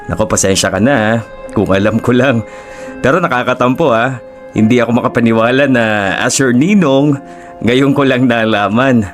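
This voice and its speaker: A man's voice: mid-range voice, natural voice. An actor playing a jovial, easygoing middle-aged godfather in a radio drama.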